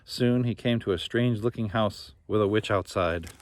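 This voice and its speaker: A man's voice: on the low side, normal speed, dry recording. A man narrating a children's fairy tale expressively as a storybook reader. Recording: noticeable background noise.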